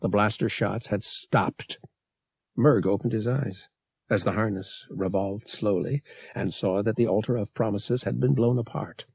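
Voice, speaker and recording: Male audiobook narrator, dramatic adventure storytelling, husky, slow and measured, very dry close sound. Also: lowish voice, steady tone, darkish tone, no room sound.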